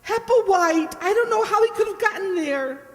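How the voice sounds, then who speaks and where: An actress playing a prim, nervous, dithering schoolteacher in an old-time radio detective play. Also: female voice, extremely high pitch, animated, normal speed. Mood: distressed. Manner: breathless dithering, fretful. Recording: reverberant hall, stage-like echo.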